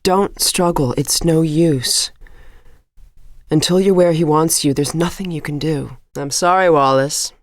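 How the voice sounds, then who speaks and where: A woman's voice: low-pitched, unhurried, dry recording. An actress playing an earnest, composed middle-aged woman physicist in a video game, speaking precisely and a little formally. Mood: firm, regretful. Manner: precise, articulate, slightly formal. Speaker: scientist character in a video game. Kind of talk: scripted video game voice acting.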